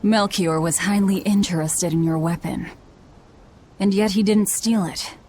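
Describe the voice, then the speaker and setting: A woman's voice: medium pitch, fairly even intonation, unhurried, warm tone, slight background noise, lightly acted. An actress playing a cold, blunt young warrior woman in a video game, hard-edged and matter-of-fact. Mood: cool, skeptical.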